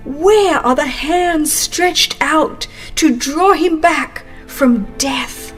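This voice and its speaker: A woman reading a religious book aloud as dramatized scripted narration, solemn, earnest and measured. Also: high voice, soft background music. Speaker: audiobook narrator.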